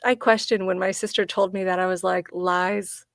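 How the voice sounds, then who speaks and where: A woman's voice: fairly low pitch, level tone, normal speed, vocal fry, no room sound, studio quality. A woman in her forties on a casual panel chat, teasing the others playfully and laughing often. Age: 40s.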